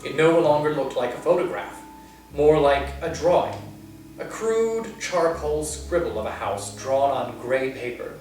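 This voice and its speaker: Man reading a storybook aloud, slow, expressive, lively pitch, very echoey room, mic set back, soft guitar. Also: distant mic. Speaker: library storyteller.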